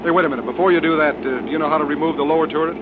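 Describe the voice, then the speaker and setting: Guy playing a decisive bomber colonel, terse orders, slightly high voice, old radio show, very tinny. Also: steady tone, racing, lightly acted. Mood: brisk command. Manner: terse, commanding. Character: calm, decisive male bomber commander, a colonel. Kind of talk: old-time radio drama acting and narration. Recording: tinny band-limited vintage radio, engine noise.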